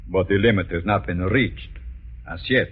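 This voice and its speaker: An actor playing a coolly polite, menacing blackmailer in a vintage radio drama, with a measured, mock-courteous manner. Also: male voice, low voice, breathy edge. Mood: smoothly menacing. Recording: muffled vintage radio recording.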